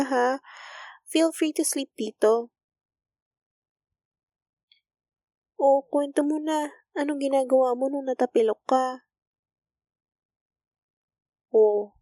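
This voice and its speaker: Woman playing a slow, gentle Filipino school nurse, teasing. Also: high-pitched, no echo, studio quality. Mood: reassuring, mildly scolding. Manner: soft, intimate, teasing, coaxing. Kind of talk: romantic ASMR roleplay.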